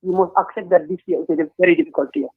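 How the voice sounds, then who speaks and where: High-voiced man over a tinny phone line. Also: steady tone, breathy edge. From Gambia. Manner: earnest, deliberate, frequent hesitations. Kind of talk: political discussion.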